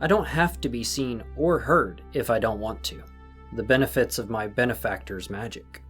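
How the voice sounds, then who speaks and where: A man's voice: mid-range voice, normal speed, fairly bright tone, faint music behind, close to an everyday voice. A man playing a stealthy, condescending servant of a magical master in an audio drama, controlled and sinister. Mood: coolly amused. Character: stealthy, condescending male enforcer of a magical benefactor.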